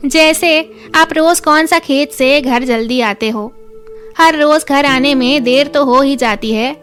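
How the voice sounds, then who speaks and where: A woman's voice: high-pitched, slow, a bit bright, soft background music. A woman voicing a practical, mildly nagging village milk-seller wife in a children's story, with a homely manner. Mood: chiding. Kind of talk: children's moral story dialogue.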